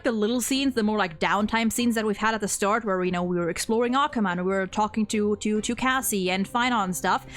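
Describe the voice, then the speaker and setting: A woman hosting a casual, unscripted opinion chat about games, rambling and thinking aloud in halting phrases. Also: mid-range voice. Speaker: host of a video game ranking video.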